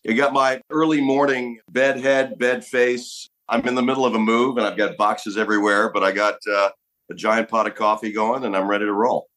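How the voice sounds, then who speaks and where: A middle-aged man from Los Angeles, a guest on a podcast, telling stories in a relaxed, casual way. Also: on the low side, normal speed, low-quality recording. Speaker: guest actor. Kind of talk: casual interview chat.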